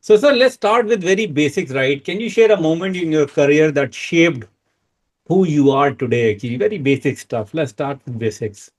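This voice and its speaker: A man's voice: on the high side, moderate pace. A man hosting an interview, asking questions in an upbeat, encouraging way. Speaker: host.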